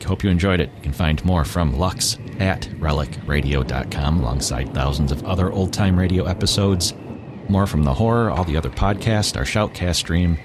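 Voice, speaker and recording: A man's voice: very deep voice, background noise. A man hosting a podcast, reading scripted introductions and announcements. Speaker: podcast host. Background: loud music.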